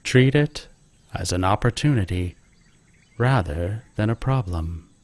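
A man leading a guided meditation with gentle, calm instruction. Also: fairly low pitch, measured pace, no echo.

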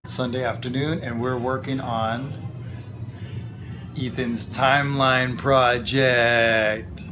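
Upbeat dad vlogging at home. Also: male voice, mid-range voice, little pitch movement, slow, over music. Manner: upbeat, encouraging. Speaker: vlogger and father. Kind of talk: casual family vlog narration.

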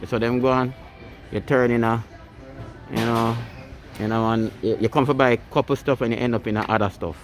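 A man's voice: mid-range voice, fairly even intonation, a little fast, soft background music. A Jamaican man chatting casually for a vlog in playful, teasing patois banter. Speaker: family vlogger. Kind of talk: casual joking vlog chat.

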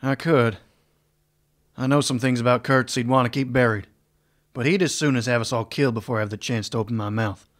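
A man's voice: medium pitch, fairly even intonation, brisk, lightly acted. A man playing terse weary marshal. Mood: grave. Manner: terse, guarded. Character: weary gruff male marshal. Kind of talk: radio drama acting.

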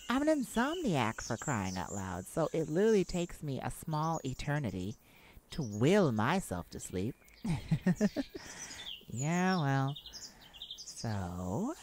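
A woman's voice: low-pitched, a little mellow, heavily acted. A woman playing a chipper, silly, caring roommate and best friend in a comforting audio roleplay, with playful, theatrical phrasing. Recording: noisy, birds and insects behind. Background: nature sounds with birdsong and insects.

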